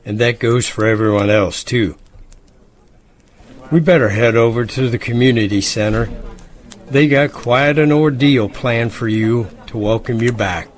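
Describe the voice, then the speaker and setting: A man playing a calm, cautious camp leader in an audio drama, measured and authoritative. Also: mid-range voice, lightly acted. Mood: calm, commanding.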